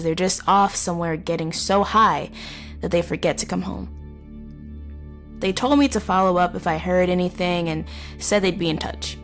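A woman playing a wounded, wry, guarded teenage runaway in a halting, confessional audio drama monologue. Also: on the low side, close to an everyday voice. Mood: bitter, resigned. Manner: halting, conversational, with pauses and wry asides. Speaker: narrator of audio horror story. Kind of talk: first-person dramatic monologue, confessional storytelling.

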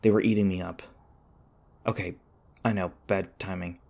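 Gravelly, flustered young college guy, acted by a man. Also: low-pitched, steady tone, darkish tone. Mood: curious, wry. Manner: flustered, halting, wry chatter. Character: anxious, rambling, sarcastic young male college student, human. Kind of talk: audio roleplay acting, one-sided dialogue.

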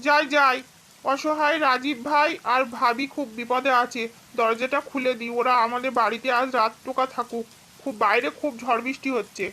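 A woman's voice: high voice, fairly even intonation, normal speed, pushed and bright, a little hiss or hum, low-quality recording. A woman voicing a kind-hearted but firm crow husband in a cartoon, earnest and insistent. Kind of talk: cartoon voice acting.

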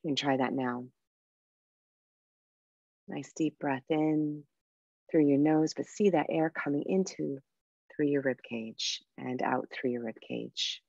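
A woman guiding a meditation and breathing exercise in a soft, unhurried, soothing way with pauses. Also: low voice, creaky, no room sound, dull audio. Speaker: meditation guide and coach. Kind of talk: guided meditation with breathing instruction.

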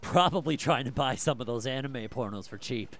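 A man's voice: mid-range voice, fairly quick, a bit bright, natural voice. Wisecracking teenage boy played by a man. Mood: joking.

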